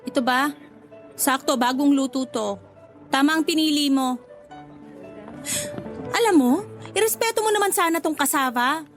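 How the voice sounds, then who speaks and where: An actress plays a lovestruck young Filipina food vendor with a high voice. She is sassy and quick to get defensive, giggling in a radio drama manner, with faint music underneath. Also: close to an everyday voice. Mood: indignant, suspicious. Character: jealous, smitten young woman cassava vendor named Cassandra.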